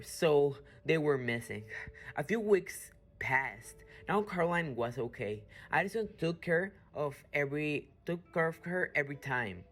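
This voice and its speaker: A woman reading scripted radio drama narration haltingly, correcting small slips as she goes. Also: sits low. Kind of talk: scripted narration, read aloud. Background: music.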